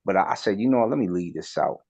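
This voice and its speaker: Gravelly low-voiced man, lo-fi. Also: lively intonation, very fast, darkish tone, dry recording. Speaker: panelist. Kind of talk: casual group chat.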